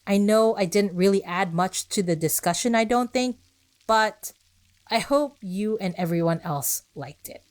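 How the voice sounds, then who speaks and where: A woman's voice: medium pitch, unhurried, a little mellow. A woman podcast host reading scripted narration with personal asides, speaking conversationally and directly to her listeners.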